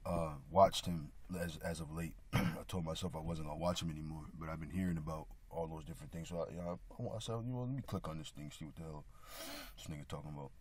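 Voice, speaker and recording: A man with a slightly raspy, hoarse voice giving a casual religious talk, halting with many restarts and frequent throat clearing. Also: low voice, darkish tone. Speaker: religious video speaker.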